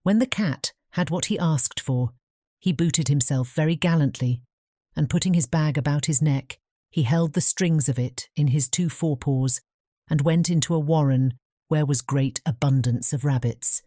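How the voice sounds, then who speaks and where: A woman's voice: low voice, normal speed, dark tone, no room sound, studio quality. A woman with a British English accent reading scripted fairy-tale narration.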